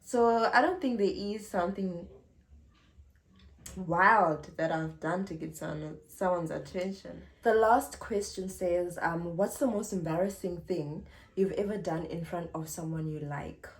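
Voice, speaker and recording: A young South African woman in her twenties, chatting casually to camera and answering viewer questions with frequent giggles. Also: lowish voice. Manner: chatty and giggly, drawn-out pauses. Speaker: YouTuber. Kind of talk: casual Q&A vlog.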